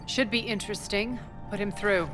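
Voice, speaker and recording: A woman's voice: medium pitch, steady tone, moderate pace, over music, lightly acted. An actress playing a tough, imperious female warlord in a video game, curt, commanding and sardonic. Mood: dry sardonic. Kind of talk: scripted video game acting.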